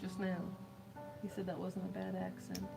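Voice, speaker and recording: A woman's voice: on the low side, completely flat delivery, normal speed, vocal fry, loud music behind the voice. Chatty, teasing woman on stream. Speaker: stream co-host. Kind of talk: casual chat during a building stream.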